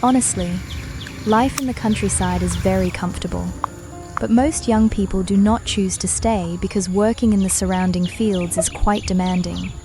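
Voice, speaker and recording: A young woman from Vietnam, narrating a travel vlog about her home village in a calm, measured, explanatory voice-over. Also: mid-range voice. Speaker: vlogger. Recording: voice-over with clear background music. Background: background music.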